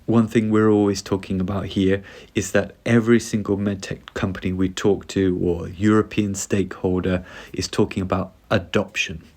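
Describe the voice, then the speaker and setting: A man hosting a podcast, reading a smooth scripted introduction and asking interview questions. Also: low voice, level tone, moderate pace, a little mellow. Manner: smooth, read-aloud delivery. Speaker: podcast host. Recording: music bed behind the voice. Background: music.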